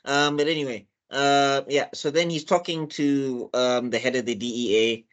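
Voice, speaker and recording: A man's voice: mid-range voice, fairly even intonation, lo-fi sound. Man rambling through a TV recap. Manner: rambling, halting with many fillers and restarts. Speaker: co-host recapping a TV episode. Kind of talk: casual chat.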